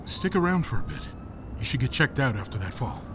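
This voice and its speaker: A man's voice: mid-range voice, very animated, a little fast, background noise, natural voice. A man playing a confident, easygoing rescuer in an audio drama, speaking in a casual, reassuring way.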